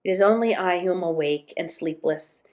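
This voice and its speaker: A woman's voice: lowish voice, fairly quick, a little mellow, close to an everyday voice. Woman reading as lonely, wistful young troll. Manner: plaintive, musing aloud.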